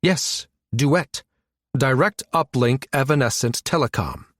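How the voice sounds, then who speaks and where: A man's voice: mid-range voice, slow pace, dry recording, clean studio sound. A man voicing an irritable, pedantic male scientist with a fussy, indignant manner in an audiobook. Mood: curt, disgruntled.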